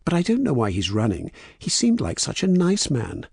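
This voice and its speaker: Man as scheming young woman, English accent, raised swooping pitch, storybook dialogue. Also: huge pitch swings, moderate pace, gravelly, darkish tone, breathy edge, dry recording, studio quality, full character voice. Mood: falsely sweet. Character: scheming young woman con artist, feigning innocence.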